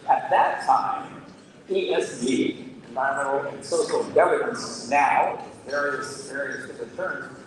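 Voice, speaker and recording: A man moderating a panel discussion, asking long questions and often halting with fillers. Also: medium pitch, expressive. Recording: distant mic in an echoey hall with crowd.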